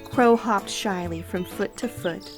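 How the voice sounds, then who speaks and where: A woman reading a children's storybook aloud as narrator, in an expressive storytelling style. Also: medium pitch, unhurried. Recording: light music and birdsong behind.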